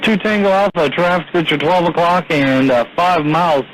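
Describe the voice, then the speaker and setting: A man's voice: on the high side, moderate pace, poor audio. An American man working as an air traffic controller, giving procedural traffic advisories over aviation radio.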